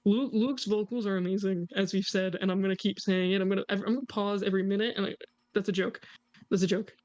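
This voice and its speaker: A man's voice: high voice, fairly even intonation, fast talker, a little mellow. A man co-hosting a reaction video, casually analysing a song and joking with his audience. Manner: chatty, jokey, points things out to the audience.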